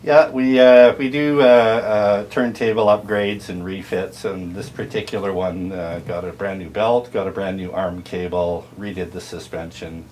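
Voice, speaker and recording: Very low-voiced man explaining audio gear. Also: steady tone, moderate pace, hardly any pauses, a little mellow, a little hiss or hum. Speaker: audio dealer and turntable restorer giving a product tour. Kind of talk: informal product demonstration.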